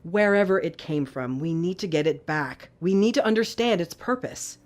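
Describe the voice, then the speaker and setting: Actress as authoritative, expressive female intelligence chief. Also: fairly low pitch, moderate pace, natural voice. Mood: grave, confiding. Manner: direct, commanding. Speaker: actor in an audio drama. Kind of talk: dramatic audio drama acting.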